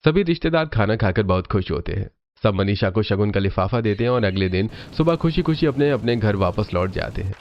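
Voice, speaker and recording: Low-voiced male story narrator. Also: expressive, fairly quick, a little mellow, lo-fi sound. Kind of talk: scripted cartoon story narration.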